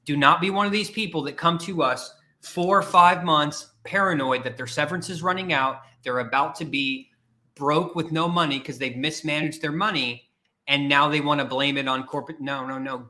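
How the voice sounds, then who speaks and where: Emphatic, bright-voiced male career coach. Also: on the high side, normal speed. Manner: emphatic and repetitive, challenging the listener directly. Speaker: podcast host and career coach. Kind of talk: motivational livestream talk.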